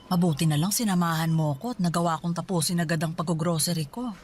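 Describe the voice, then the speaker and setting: A woman playing a warm, doting middle-aged mother with a Filipino accent in a radio drama. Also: on the low side, fast talker, a little hiss or hum, close to an everyday voice. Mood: casual, pleased.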